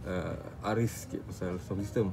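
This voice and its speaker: A man's voice: low voice, steady tone, moderate pace, creaky, noisy background. A young man with a Malaysian accent explaining technical things casually, hesitating and searching for words. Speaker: audio specialist. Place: small cluttered office, indoors.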